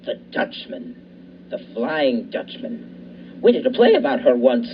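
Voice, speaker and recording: A young man playing an excitable, theatrical retired performer in a student radio play, melodramatic and shrill when scared. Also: slow, recorded from a distance. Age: young adult. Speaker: lighthouse keeper Auguste. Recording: muffled with faint hiss.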